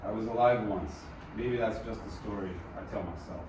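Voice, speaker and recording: A man's voice: lowish voice, brisk, natural voice. A man playing a broken, grieving musician in a screenplay table read, brooding and subdued. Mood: bitter grief. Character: Beric, broken, haunted, grieving male musician. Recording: distant, echoey room with clear noise.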